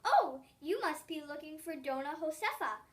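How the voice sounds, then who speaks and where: A schoolgirl reads as an eager, helpful storybook farm boy, cheerful and emphatic. The voice is high and slightly away from the microphone. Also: expressive, moderate pace, recorded from a distance. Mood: friendly, helpful.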